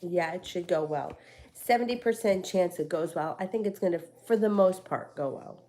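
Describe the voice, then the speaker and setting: A woman's voice: on the low side, moderate pace, dark tone, a little hiss or hum. Stuffy-voiced chatty woman reading tarot. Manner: rambling, chatty, with many fillers and restarts. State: stuffy from a cold. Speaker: tarot reader. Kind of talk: casual live-stream tarot reading. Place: home.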